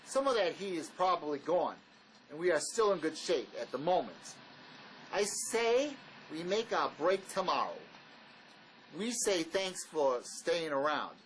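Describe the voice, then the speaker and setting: An actor playing a wary, blunt escaped convict in a drama, speaking in an argumentative, insistent manner. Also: male voice, fairly high pitch, sing-song, unhurried, a bit bright, slight background noise, poor audio. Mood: exasperated, arguing. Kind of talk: dramatic dialogue reading.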